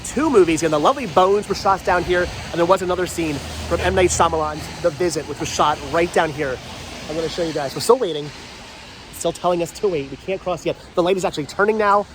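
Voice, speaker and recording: A man's voice: a little high, fast. A man with a stuffy, congested voice from a cold, chatting enthusiastically as he walks outdoors on a rainy town street and films himself. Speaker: vlogger. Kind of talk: walking tour vlog. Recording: light street noise.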